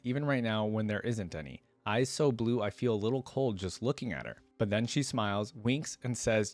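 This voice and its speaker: A man's voice: mid-range voice, no room sound. A man reading a story aloud as a reaction host, with informal, slangy asides, recorded close on a microphone.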